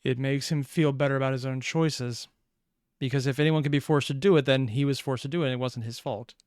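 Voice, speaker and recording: A man's voice: medium pitch, steady tone, a little fast, a little mellow, clear, resonant tone, no room sound, studio quality. A man giving casual, off-the-cuff reaction commentary as a video host, with wry, analytical asides.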